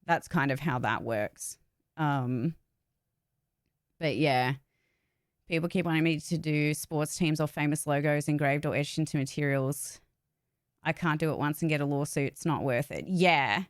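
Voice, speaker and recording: Low-pitched woman, candid and emphatic. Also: unhurried, no echo, studio quality. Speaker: vlogger, actor and voice-over artist. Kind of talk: casual opinionated talk to viewers.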